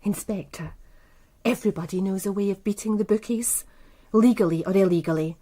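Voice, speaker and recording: A woman's voice: mid-range voice, fairly quick, warm tone, natural voice. A woman playing a proud, worldly businesswoman with an English accent in a British radio crime drama, composed, articulate and coolly self-assured. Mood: cool, knowing. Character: poised, proud, worldly businesswoman running a bookmaking empire.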